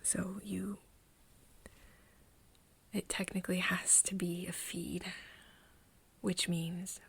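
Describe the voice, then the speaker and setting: A woman playing a nervous, tender young friend of a vampire in an ASMR roleplay, speaking softly and hesitantly, often slipping into a whisper. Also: lowish voice, little pitch movement, full character voice. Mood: hesitant, anxious. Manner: hesitant, halting, breathy whispering mixed with soft speech. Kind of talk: ASMR roleplay, intimate one-sided dialogue to listener.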